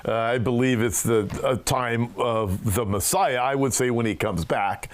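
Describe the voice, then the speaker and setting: A man's voice: medium pitch, moderate pace. Earnest man hosting a conversation. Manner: earnest, rambling questions with fillers. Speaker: pastor and show host. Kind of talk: interview conversation.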